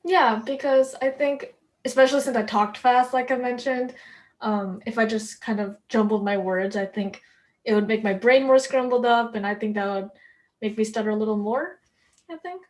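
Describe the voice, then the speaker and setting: Young woman host, native Korean speaker, slightly high voice, chatty with a light stutter and easy laughs. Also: moderate pace, darkish tone. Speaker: podcast host. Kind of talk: casual conversation.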